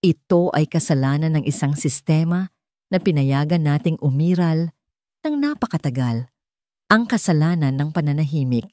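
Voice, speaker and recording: A woman narrator plays a commanding older Filipino matriarch in an audio story. She is wealthy, shrewd and resolute, speaking firmly in a mellow voice, recorded dry. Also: low-pitched, animated, normal speed, dry recording. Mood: firm, indignant. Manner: firm, authoritative. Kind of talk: audio story character acting.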